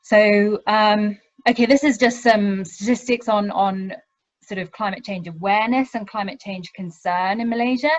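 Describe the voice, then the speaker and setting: A woman's voice: medium pitch, moderate pace. A British woman in her thirties, a university lecturer, presenting slides on a webinar panel with frequent ums. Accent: British English. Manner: hesitant, many ums, self-deprecating. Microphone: video call. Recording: dry, slightly dull video-call audio.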